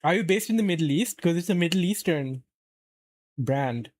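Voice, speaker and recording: A man's voice: a little high, lively intonation, moderate pace, a little mellow, dry recording. A man from Saudi Arabia casually chatting on a live stream, rambling and answering chat as he plays.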